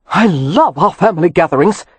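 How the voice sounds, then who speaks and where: A reader voicing a wry, sarcastic gentleman in the middle of a scuffle. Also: high voice, animated, moderate pace, husky, no echo. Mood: sardonic. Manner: dry, sarcastic.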